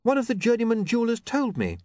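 A man's voice: extremely high pitch, huge pitch swings, quick pace, a little mellow, no echo, voice pushed up, full character voice. A man voicing a shy, proper young woman who is eager but nervous, with a modest, hesitant manner.

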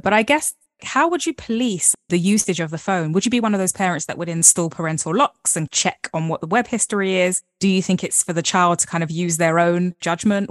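A woman's voice: fairly low pitch, no room sound, studio quality. A woman hosting a podcast interview, upbeat and playful, reading her segment intros with ease. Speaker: podcast host.

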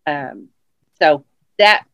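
A woman's voice: sits low, lively intonation, very slow, a bit bright, clean tone, dry recording. A middle-aged woman with a commanding manner, giving advice in a casual interview chat, on a dull lo-fi recording.

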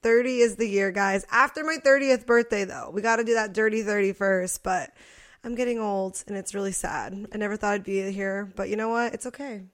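A woman's voice: mid-range voice, steady tone, brisk. A woman in her late twenties co-hosting a podcast, chatting casually and laughing often. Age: 20s. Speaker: podcast co-host. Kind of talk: casual chat.

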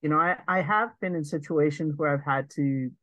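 Woman guest with a deep voice, earnest and reflective, dry close sound. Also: normal speed, fairly bright tone, no room sound, highs cut off. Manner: earnest, thoughtful, speaks in long winding sentences. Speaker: guest, advocate. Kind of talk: reflective interview conversation.

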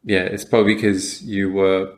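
Young male podcast co-host, lowish pitch, thoughtful and halting delivery. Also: flat delivery. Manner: reflective, many fillers and restarts. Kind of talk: casual chat.

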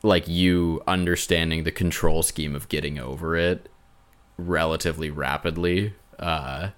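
A young man co-hosting a podcast, talking casually in a bassy voice and often restarting his sentences. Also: fairly even intonation. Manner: halting, with many restarts and fillers. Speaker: podcast co-host. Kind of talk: casual chat.

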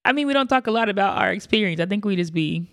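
A woman's voice: medium pitch, lively intonation, racing, no room sound, studio quality. A woman in her mid-twenties, co-hosting a podcast in a chatty, giggly, playful way. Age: 20s. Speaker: podcast co-host. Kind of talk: casual chat.

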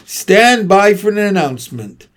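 A man doing a booming fairground announcer. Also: very animated, unhurried, fairly bright tone, exaggerated voice. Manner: grand, formal, booming.

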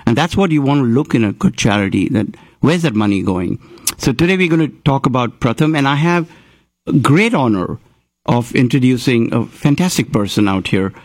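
A man's voice: mid-range voice, animated, moderate pace, dry recording. A man hosts a community talk show, speaking earnestly and wandering through his points with frequent restarts. His voice sits in a middle range for a man, recorded dry and close with no room sound. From grew up in Houston. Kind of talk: interview.

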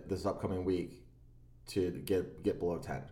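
A man co-hosting a podcast in casual chat, laid-back and a little halting, recorded in a roomy, echoey space. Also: deep voice, fairly even intonation, dark tone. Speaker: podcast co-host. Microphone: own podcast microphone.

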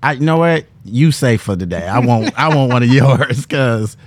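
A man's voice: medium pitch, moderate pace. A man in his forties hosting a show with casual, slangy, joking talk and interview questions. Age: 40s.

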